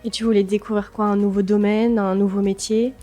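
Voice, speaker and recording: A woman's voice: mid-range voice, flat delivery, fairly quick, dark tone, faint music behind. A woman hosting a podcast interview in a conversational style, setting scenes and putting questions to her guest.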